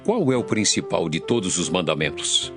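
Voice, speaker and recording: An earnest male scholar of religious law played by a man speaks solemnly in an audio Bible drama. His voice sits low, with animated inflection. Music is clearly audible beneath. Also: normal speed. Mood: earnest, approving. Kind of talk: dramatized scripture audio reading.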